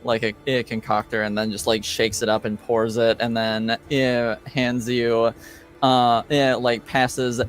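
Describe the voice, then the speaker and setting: A man narrating as game master of a tabletop game, halting and full of fillers. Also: mid-range voice, little pitch movement, faint music behind.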